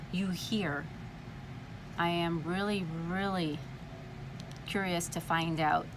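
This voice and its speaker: A woman from California with a slight lisp, talking casually to camera in a chatty, dramatic way and swearing freely. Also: fairly low pitch. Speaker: vlogger. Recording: noisy background.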